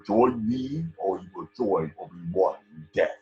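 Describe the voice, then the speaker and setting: A man acts out a towering dark lord of space fantasy. The voice is low and ominous, issuing commands with cold authority. The sound is a little dull and muffled, as from a remote table read. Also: animated, a little slow. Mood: cold menace. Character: menacing towering male dark lord villain.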